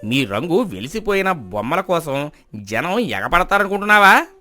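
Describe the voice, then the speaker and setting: Man acting a scornful, animated village moneylender. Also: fairly high pitch, normal speed. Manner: scornful, badgering, exclamatory. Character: gruff, mocking male village moneylender demanding repayment. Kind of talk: acted folk-tale dialogue.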